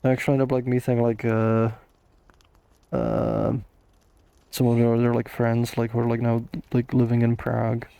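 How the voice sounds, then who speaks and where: A man's voice: medium pitch, completely flat delivery, normal speed, vocal fry, dark tone, clean tone, no echo. A tired young man hosting a casual livestream Q&A, rambling with lots of fillers and restarts. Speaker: host answering viewer questions.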